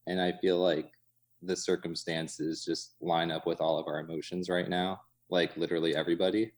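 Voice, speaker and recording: A man talking casually as an interview guest, in an informal, halting way full of hedging asides. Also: sits low, flat delivery. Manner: informal, halting, many hedging asides, chuckles through speech. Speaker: interview guest, coffee shop co-owner. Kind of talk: casual chat. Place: inside his cafe.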